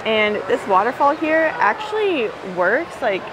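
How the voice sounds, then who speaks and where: A woman's voice: sits high, big pitch swings, measured pace, noisy background. A woman in her twenties chatting informally to a handheld camera, self-deprecating and giggly, in casual vlog style. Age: 20s. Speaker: vlogger. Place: hotel room, street, convention hall. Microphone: handheld camera at arm's length.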